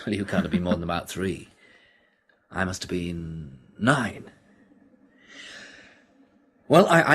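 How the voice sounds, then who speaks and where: Radio drama lead: weary British male survivor, husky mid-range voice, lively inflection, grumbling. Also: medium pitch. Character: reluctant, weary English male survivor, plain-spoken. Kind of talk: classic radio drama acting.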